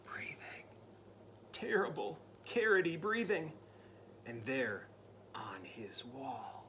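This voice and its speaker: A man reading a spooky picture book aloud to a child, his voice swooping wide and lively and a bright, forceful edge to it. He is playful and dramatic, sliding into hushed whispers for the creepy bits, and a faint hiss of noise sits under him. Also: medium pitch, slow. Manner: dramatic, drops to spooky whispers and jumps to loud cries; playful asides to the listening child. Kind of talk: storybook read-aloud.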